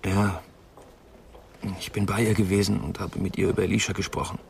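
Ein Schauspieler spielt in einem deutschen Krimihörspiel einen bedrückten jungen Medizinstudenten, der stockend und gedämpft berichtet. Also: male voice, low voice, fairly even intonation, creaky, slight background noise. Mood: subdued. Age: young adult. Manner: halting, subdued, confessional. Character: troubled young male medical student, devoted to his flatmate. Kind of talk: German radio crime drama acting.

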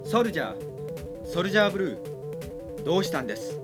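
A man's voice: high-pitched, big pitch swings, normal speed. A man playing an alarmed, respectful spaceship officer with theatrical delivery in an amateur radio drama. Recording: amateur radio drama under loud music.

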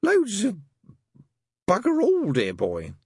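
Fretful tipsy angel, fussy and prim, high and swooping, breathy tone, a man's put-on voice. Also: wildly swooping pitch, slow, darkish tone, dry recording, clean studio sound, exaggerated voice. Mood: exasperated. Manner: fretful, prissy, hesitant. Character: fussy prim male angel, drunk and anxious.

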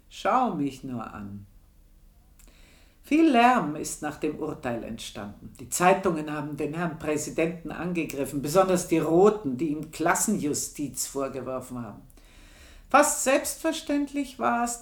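Brief: low-voiced bitter old maid, slow and confiding, lively melody. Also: female voice, heavily acted. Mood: wistful. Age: older. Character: old female housemaid, bitter and proud. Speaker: housemaid telling her life story. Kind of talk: radio play monologue.

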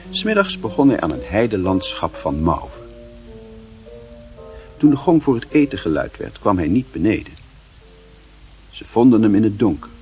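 A man acting as the grave, reflective narrator of a literary radio play, husky and measured in his solemn delivery. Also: deep voice. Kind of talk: scripted dramatic narration.

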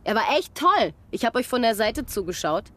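An actress playing a blunt, quick-tempered young stage actress who curses freely. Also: female voice, fairly high pitch, very animated, fairly bright tone. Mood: scornful. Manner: blunt and cursing.

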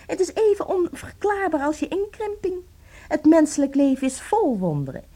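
A woman playing a devoted wife in a radio play, dramatic and intimate, swinging between tenderness and cold bitterness in a husky voice. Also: high-pitched, very animated, moderate pace, mellow. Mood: adoring.

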